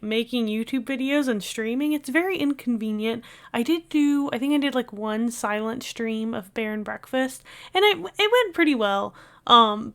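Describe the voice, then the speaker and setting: A young woman chatting casually over a game speed build, rambling with lots of filler words, her voice still recovering after she lost it. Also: on the high side. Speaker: video game builder and streamer.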